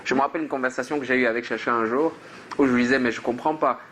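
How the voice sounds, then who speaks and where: A man's voice: medium pitch, fairly even intonation, rapid-fire, fairly bright tone, slight background noise. A man from Cameroon, a musician guest on a talk show, talking in an earnest, reflective way. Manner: earnest, reflective, sings snippets. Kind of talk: casual talk show chat.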